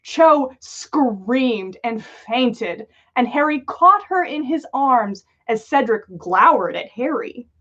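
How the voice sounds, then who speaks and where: A woman's voice: fairly high pitch, expressive, slow, few pauses, a bit bright, dull audio. A woman reading a story aloud for a livestream in an amused, dramatic manner, with small slips. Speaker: podcast host reading listener fan fiction.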